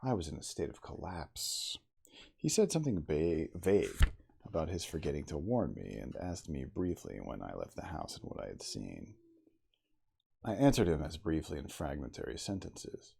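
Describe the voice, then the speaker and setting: A man reading a classic novel aloud as ASMR, in a soft, intimate, slow dramatic storytelling manner. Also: rumbling low, very animated, vocal fry, breathy edge. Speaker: audiobook reader in character as the narrator.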